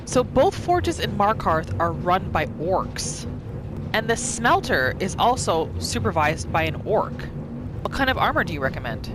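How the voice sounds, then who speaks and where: Female gaming host, expressive roleplay commentary, wide swooping intonation, clear game ambience underneath. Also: mid-range voice, measured pace. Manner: animated, expressive reactions. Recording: voice over noisy game ambience. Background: video game ambience.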